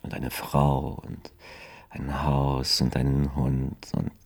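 An actor playing a timid, guilt-ridden young man in love in a radio crime drama, halting and earnest, with a husky voice. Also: a bass voice, completely flat delivery, full character voice. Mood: sad, wistful. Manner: hesitant, halting, soft-hearted.

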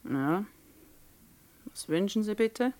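An actress playing a brusque, haughty middle-aged shop boss in a 1960s radio play, curt and condescending. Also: female voice, mid-range voice, expressive, takes it slowly, darkish tone. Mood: irritated.

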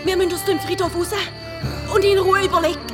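Bold, bossy young girl, high-voiced. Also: steady tone, fast talker, loud music behind the voice. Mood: agitated. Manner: resolute, scolding. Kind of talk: children's audio drama.

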